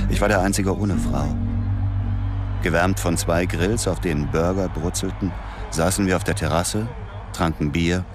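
A man playing a soldier who narrates his memories in a radio drama, measured and matter-of-fact. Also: deep voice, close to an everyday voice. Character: male narrator, a soldier recalling a party soberly. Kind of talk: scripted first-person radio drama narration.

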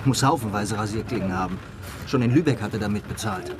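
Matter-of-fact male tail driver, played by an actor, radio thriller. Quick, lowish voice amid vehicle and street noise. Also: close to an everyday voice. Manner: brisk, factual. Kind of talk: German radio crime drama dialogue. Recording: noisy street and vehicle ambience.

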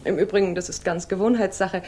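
A woman's voice: medium pitch, fairly even intonation, quick pace, warm tone, lightly acted. An actress as a reserved, elegant lady. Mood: chatty, animated. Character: reserved, elegant married lady with guarded melancholy. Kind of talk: 1960s German radio drama acting.

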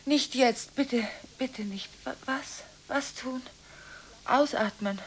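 An actress in a radio drama playing a frantic, easily unsettled woman on telephone calls, speaking in halting, repetitive fragments. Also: high-pitched, husky, exaggerated voice. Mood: flustered, pleading. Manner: breathless, repeats words, broken halting phrases, swings between whispers and outbursts. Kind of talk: radio drama acting, one-sided telephone monologue. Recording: hissy studio radio drama recording.

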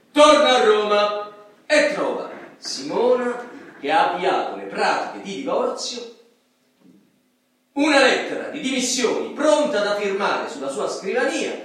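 An actor narrating a grim tale in a radio play, deliberate and theatrical, with dramatic pauses. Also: male voice, sits high, fairly bright tone, exaggerated voice. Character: solemn male narrator of a grim Roman tale. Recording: echoey stage, distant mic, faint music.

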